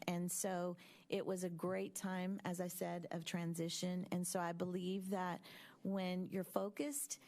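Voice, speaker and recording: Low, flat-voiced woman in her fifties. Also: vocal fry. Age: 50s. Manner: measured, reflective, frequent ums. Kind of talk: personal reflection at a board meeting. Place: school board meeting room.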